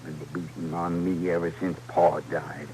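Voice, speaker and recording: A childlike, simple young outlaw in a vintage radio Western. He talks in a lowish, plaintive drawl of the American West, haltingly and trustingly, through a noisy old recording. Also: level tone, darkish tone. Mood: aggrieved, plaintive. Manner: slow, halting, plaintive rural speech. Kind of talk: old-time Western radio drama acting.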